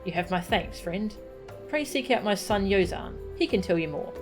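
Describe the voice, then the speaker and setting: A woman's voice: mid-range voice, expressive, normal speed, music in the background, close to an everyday voice. A woman voicing an earnest, courteous warrior who guards children in a fantasy game, speaking formally. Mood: courteous, humble.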